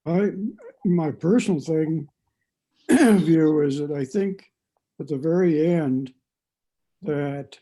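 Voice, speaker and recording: A man with a very dark, mellow tone and a slightly high pitch gives his view in a book discussion. He speaks slowly and haltingly, searching for the right words. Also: rough recording. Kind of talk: reflective opinion in group discussion.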